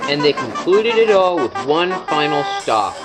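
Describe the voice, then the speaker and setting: A man's voice: on the high side, big pitch swings, normal speed, loud music behind the voice. A man narrating a scripted children's story.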